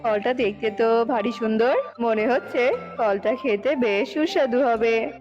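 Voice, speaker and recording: A woman's voice: on the high side, unhurried, highs cut off, faint music behind, lightly acted. A woman voicing an emphatic father bird, a stubborn king in a cartoon fairy tale. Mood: cheerful, dismissive.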